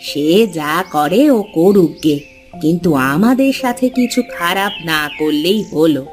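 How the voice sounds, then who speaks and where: A woman voicing a weary, indulgent older mother in a cartoon, gently scolding her son. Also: lowish voice, expressive, measured pace, faint music behind. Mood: placating.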